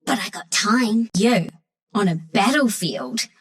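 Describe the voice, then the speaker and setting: A woman's voice: mid-range voice, expressive, takes it slowly, breathy edge. A woman voicing a cocky, mischievous little furry forest sharpshooter for a video game, with an Australian accent and a cheeky, breezy, slangy delivery. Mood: cocky taunting. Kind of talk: video game character voice lines.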